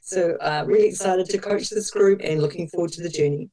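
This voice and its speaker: A woman with a New Zealand accent introduces her group, playful and energetic. Her voice is fairly low and mellow, and she sounds a bit far from the mic. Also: fairly quick. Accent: New Zealand English. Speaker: group coach.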